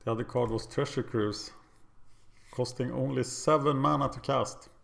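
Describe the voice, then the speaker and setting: A man with a Swedish accent talks through his card game out loud, weighing each play and poking fun at his own choices. His voice sits at an ordinary middle pitch. Keyboard typing and mouse clicks can be heard behind him. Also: fairly even intonation, moderate pace, gravelly. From Sweden. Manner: self-deprecating, thinking aloud. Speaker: game commentator. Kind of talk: casual gameplay commentary.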